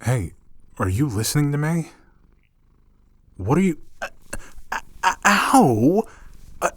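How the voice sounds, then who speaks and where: A man acting an affectionate, teasing roommate in an intimate ASMR roleplay, soft-spoken and playful. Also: fairly high pitch, big pitch swings, slow pace, husky, no room sound, full character voice. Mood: startled, flustered. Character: affectionate, teasing male roommate gently pampering a shy catgirl.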